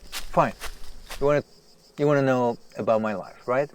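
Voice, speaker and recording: An actor playing a famous male writer, agitated, self-deprecating and obsessed with his work, halting and repeating himself in a naturalistic audio drama. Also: mid-range voice, slight background noise, lightly acted. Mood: wound up.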